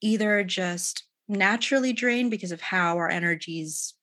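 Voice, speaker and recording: A woman coach talking in a reflective, unhurried way with many pauses and soft laughs, as a podcast guest. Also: medium pitch. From Philippines. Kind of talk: casual podcast conversation.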